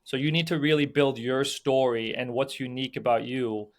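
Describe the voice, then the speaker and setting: A man's voice: mid-range voice, steady tone, moderate pace. Chatty Belgian-raised man with many fillers. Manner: loose and conversational, many fillers and restarts. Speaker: film producer and sales agent, guest. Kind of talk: casual podcast interview.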